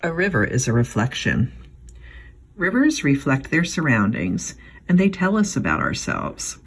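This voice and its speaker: A woman reading a picture book aloud as a children's librarian, phrasing it expressively and deliberately for young listeners. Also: a bass voice, wildly swooping pitch, slightly rough.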